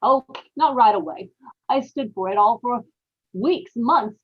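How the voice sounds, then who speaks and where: Woman acting a haunted murderous wife, high voice. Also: animated, a little slow, husky, lo-fi sound. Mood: haunted, confessing. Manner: dramatic, brooding confessional with repeated phrases. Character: guilt-haunted murderous young wife, unhinged and brooding. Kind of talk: old-time radio horror monologue.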